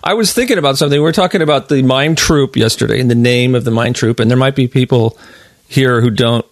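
A man's voice: medium pitch, fast, no echo. Dry, close-sounding man chatting. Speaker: interviewer, host. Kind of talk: casual chat.

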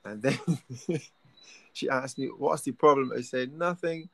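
A man from Barbados with a Barbadian accent, a guest telling stories in a relaxed, shy, soft-spoken way. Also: medium pitch, measured pace, gravelly, slight background noise. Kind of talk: casual podcast chat.

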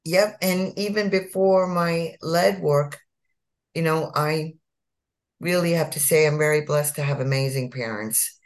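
A woman's voice: deep voice, unhurried. A woman from India, a physician in her fifties or sixties, telling her life story in an interview with earnest emphasis, repeating key words. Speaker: physician guest.

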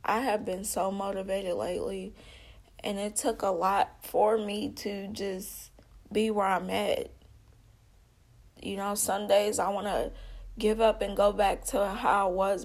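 A woman's voice: medium pitch, steady tone, slow pace. A woman giving an earnest personal testimony, speaking conversationally, calling her listeners y'all and repeating herself with small slips. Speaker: vlogger.

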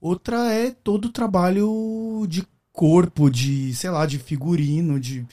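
Mellow-voiced male podcast host. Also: sits high, fairly even intonation, a little slow. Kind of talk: casual interview chat.

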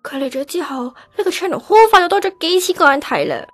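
A self-deprecating nineteen-year-old daughter with a high voice and a Hong Kong Cantonese accent. She is dramatic and sensitive, in a radio drama with music behind her. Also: a little slow, husky, no room sound, exaggerated voice. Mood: tearful farewell.

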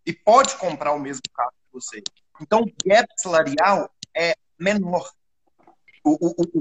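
A man's voice: high voice, fairly bright tone, rough recording. A Brazilian man explaining a point in a casual panel chat, stumbling over words at times.

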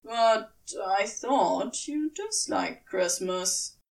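A woman's voice: medium pitch, lively intonation, very slow, vocal fry, distant mic, heavily acted. A woman voicing a wry, nonchalant male demon with a curt manner in an audiobook story. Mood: casual. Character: offhand, wry male demon, grudgingly fond.

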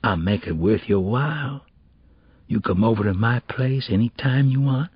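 A man's voice: medium pitch, moderate pace, breathy edge, no echo, muffled recording, voice pushed up, close to an everyday voice. A man voicing a cocky, menacing teenage boy in an audiobook, with slangy, pushy talk. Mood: crude, menacing. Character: cocky, menacing teenage boy with street swagger, a would-be cheat.

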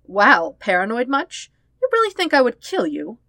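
A woman voicing a proud, sharp, teasing younger sister, a princess, in a fan fiction audiobook. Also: fairly high pitch, huge pitch swings, moderate pace, no room sound, clean studio sound, pitched above the performer's own voice, full character voice. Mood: taunting, challenging.

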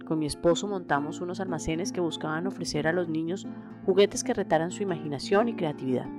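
A woman's voice: on the low side, fast talker, over music. A middle-aged woman with a Colombian accent hosting a podcast alone, reading a scripted personal narration. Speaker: podcast host.

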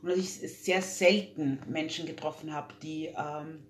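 A woman's voice: low-pitched, fairly even intonation, moderate pace. A young woman, talking earnestly as an interview guest, with many fillers and restarts. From Austria. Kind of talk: casual interview talk. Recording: echoey room, faint hum.

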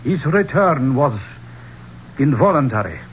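A man's voice: mid-range voice, big pitch swings, measured pace. An actor playing a solemn, mystical man who pronounces curses, portentous and formal, in a 1970s radio drama. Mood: solemn, ominous. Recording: muffled, noisy old radio recording.

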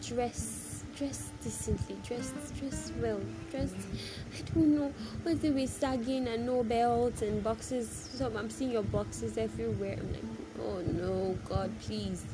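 A woman's voice: a little high, steady tone, takes it slowly, loud music behind the voice, low-quality recording. A woman from Nigeria with a Nigerian accent gives a casual, opinionated monologue, speaking emphatically with repeated phrases and rhetorical questions. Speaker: vlogger giving personal opinion.

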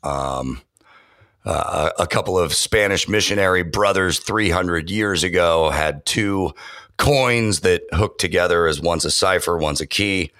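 Man, extremely low voice, enthusiastic, lots of ums and restarts, dry close mic. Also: measured pace, sharp tone, dry recording. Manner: enthusiastic, many fillers and restarts. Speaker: voiceover artist and audio engineer. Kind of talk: casual interview talk.

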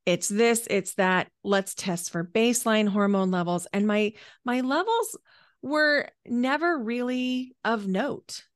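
A woman's voice: fairly high pitch, slow, no room sound, clean studio sound. A 49-year-old woman speaking as a podcast guest, telling her own story conversationally and stretching key words for emphasis. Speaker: podcast guest, energy healer and coach.

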